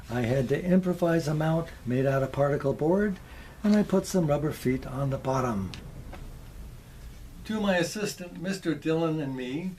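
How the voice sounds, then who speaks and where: A man presenting a show-and-tell video in a folksy, deliberate way, with short careful phrases, theatrical exclamations and wry humour. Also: fairly high pitch, dark tone, a little hiss or hum, rough recording. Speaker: presenter, vintage radio collector.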